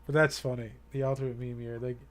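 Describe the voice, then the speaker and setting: A young man talks casually to the viewers of his live stream. He rambles earnestly, stopping to restart his sentences and leaning on fillers. His voice sits at an ordinary middle pitch for a man. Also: level tone, quick pace. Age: young adult.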